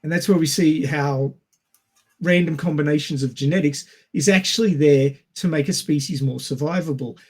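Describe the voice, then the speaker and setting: Man explaining things, slightly high voice. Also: normal speed, a little mellow. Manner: didactic, explains step by step. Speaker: podcast panellist. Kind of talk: casual explanatory discussion.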